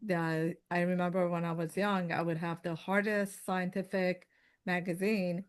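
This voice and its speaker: A woman's voice: fairly low pitch, little pitch movement, unhurried, clear, resonant tone. A woman in her fifties from Iran with a Persian accent, a guest telling her story in casual chat, rambling with many fillers and restarts. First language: Farsi. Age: 50s.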